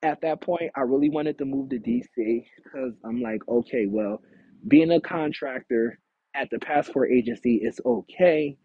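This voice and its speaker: A man in his thirties hosting his own podcast, talking candidly and casually, with animated quotes of himself. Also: mid-range voice, normal speed, gravelly, breathy edge, no echo. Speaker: podcast host. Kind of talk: casual solo podcast monologue. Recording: tinny phone-like audio, dry.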